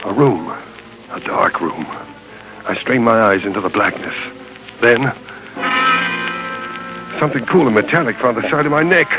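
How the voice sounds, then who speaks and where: An actor playing a hard-boiled American fugitive in a radio thriller, tense and slangy, with clipped dramatic delivery. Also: male voice, mid-range voice, moderate pace, long pauses, over loud music, natural voice. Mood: suspenseful narration. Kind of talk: 1940s radio acting, dramatic first-person narration. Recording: dull old radio recording.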